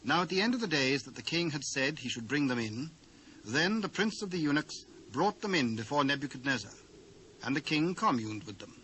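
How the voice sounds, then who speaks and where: A man's voice: a little high, sing-song, bright, forceful tone. Formal British man narrating scripture. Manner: formal, measured. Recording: noisy old cassette transfer.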